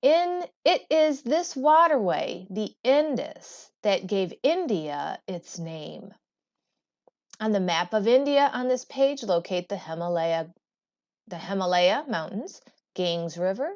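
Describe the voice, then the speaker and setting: A woman teacher reading a textbook aloud in a deliberate, expressive way, with brief explanatory asides. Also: lowish voice, muffled recording.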